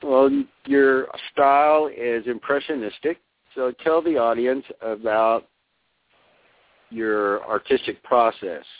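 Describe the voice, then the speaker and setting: A man's voice: mid-range voice, fairly even intonation, slow, no room sound. A man hosting an interview show, reading a polished intro and asking questions. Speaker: radio show host. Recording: tinny phone-like audio with faint music.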